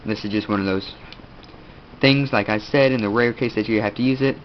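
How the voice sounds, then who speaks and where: A teenage boy with a stuffed-up nose, casually showing his kit and rambling with lots of fillers. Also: lowish voice. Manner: rambling, halting, many fillers. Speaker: video host. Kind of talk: casual gear show-and-tell. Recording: dull lo-fi audio, faint room noise.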